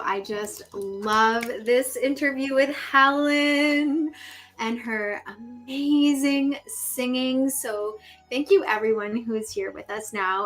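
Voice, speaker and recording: Woman hosting a live show, kinda high voice, gushy, reads the chat out loud. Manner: effusive, gushing praise; reads viewer comments aloud. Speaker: host. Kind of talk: livestream talk show chat.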